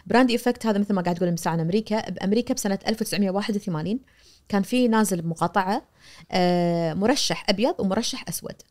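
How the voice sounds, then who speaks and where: A woman in her forties with a Kuwaiti accent, a media professor explaining things animatedly as a guest on a studio podcast, recorded on a boom mic. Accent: Kuwaiti Arabic. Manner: animated, gesturing, acts out quoted voices. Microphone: boom-arm studio mic.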